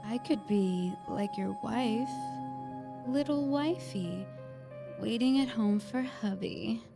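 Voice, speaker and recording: Game flirt, teasing and unhinged; very slow drawl, score playing underneath. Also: female voice, a little high, gravelly, over music. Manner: sultry, teasing, slow purring. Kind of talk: video game cutscene acting.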